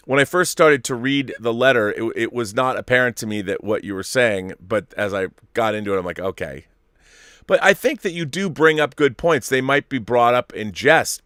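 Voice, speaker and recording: A man's voice: lowish voice, a bit bright, no room sound, studio quality. A middle-aged man hosting a live stream, reading viewer messages and rambling through opinions with many restarts. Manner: rambling, self-interrupting, opinionated. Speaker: host reading viewer super chats. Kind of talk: casual live-stream commentary.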